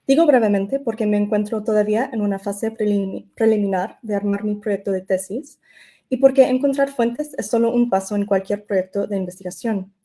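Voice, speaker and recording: Woman, non-native speaker, academic lecture read aloud, mellow warm voice, measured pace. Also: mid-range voice. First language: not Spanish. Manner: measured, careful delivery with occasional self-corrections. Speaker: invited lecturer. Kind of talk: reading a prepared academic lecture.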